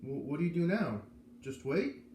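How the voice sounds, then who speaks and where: An earnest, worried schoolboy performed by a man reading a children's novel aloud presses with urgent questions. The pitch is lifted, and the room echoes around it with noticeable noise. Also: big pitch swings, moderate pace, darkish tone. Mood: worried questioning. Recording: roomy echo, noticeable background noise.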